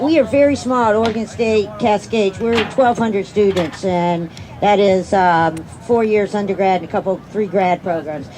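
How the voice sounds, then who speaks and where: A middle-aged woman with a mellow, relaxed voice of average pitch. She speaks as a university teacher giving an unscripted answer, measured and explanatory. The setting is outdoors. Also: rough recording. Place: outdoors at a campground.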